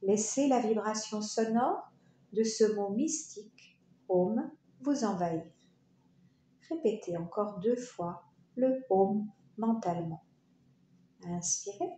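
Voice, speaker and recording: A woman leading a guided yoga relaxation, speaking in short, soothing, unhurried phrases with pauses. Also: medium pitch, warm tone. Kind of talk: guided meditation narration. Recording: roomy echo, faint background noise.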